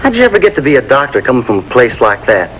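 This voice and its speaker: Eager backwoods lad, quick and bouncy in pitch, earnest, vintage TV drama. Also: male voice, sing-song, fairly bright tone. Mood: curious. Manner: folksy, earnest, questioning. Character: curious, eager country boy. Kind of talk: 1950s American television drama acting. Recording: faintly noisy vintage television soundtrack.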